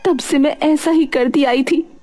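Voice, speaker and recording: Woman playing an earnest high-voiced village woman. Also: fairly even intonation, unhurried, dark tone, faint music behind. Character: earnest village woman recounting her burden. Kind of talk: Hindi animated story dialogue.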